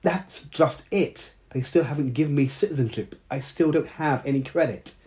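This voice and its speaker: A man voicing an anxious, excitable young female mermaid in a storybook reading. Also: on the high side, normal speed, voice pushed up, lightly acted. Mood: startled. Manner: emotional, pleading.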